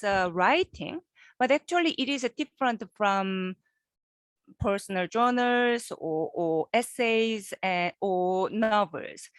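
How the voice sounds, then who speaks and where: A woman professor giving a guest lecture over a video call, halting and repeating words, often checking in with her listeners. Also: on the high side, no room sound. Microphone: computer microphone on a video call.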